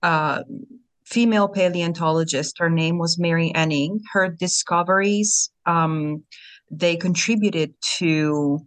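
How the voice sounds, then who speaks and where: A middle-aged woman from southern Italy, answering interview questions in a measured, explanatory way. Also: lowish voice. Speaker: guest author.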